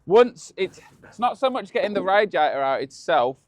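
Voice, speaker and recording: Host, Birmingham accent, slightly raised pitch, relaxed running commentary while working. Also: male voice, normal speed, a little hiss or hum. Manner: chatty, laughing, explaining while working. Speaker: channel host. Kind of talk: casual workshop chat. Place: truck workshop.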